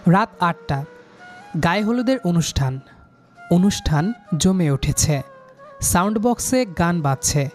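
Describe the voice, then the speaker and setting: A man's voice: sits high, a little mellow. A young man reading a scripted romantic story in the first person, voicing the lead character's lines.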